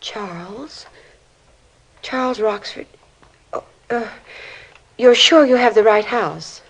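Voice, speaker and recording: An actress playing a distraught, disbelieving middle-aged American mother in an old radio crime drama, with a breathy, fragile voice. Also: a little high, takes it slowly, low-quality recording. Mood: stunned disbelief. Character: distraught, grieving mother of a teenage boy, disbelieving.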